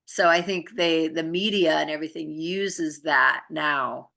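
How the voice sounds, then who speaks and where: A woman speaks in a low register with plenty of gravelly fry and a bright, forward edge. She chats casually and reflectively, rambling through her thoughts in trailing phrases. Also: fairly even intonation, measured pace. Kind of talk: casual conversational chat.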